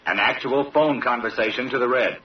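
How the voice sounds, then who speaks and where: A man's voice: mid-range voice, fairly quick, noisy background. An American man reading scripted 1960s military radio documentary narration in a solemn, dramatic way. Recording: dull old radio recording, distant, loud music.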